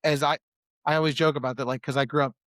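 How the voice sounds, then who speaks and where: A man's voice: mid-range voice, fast talker, no echo, studio quality. A man from Ohio, a musician, talking casually in an interview, rambling with many restarts and acting out his own past reactions. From Ohio, USA. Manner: rambling, many restarts and fillers, animated when quoting himself. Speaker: musician guest. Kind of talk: casual conversation.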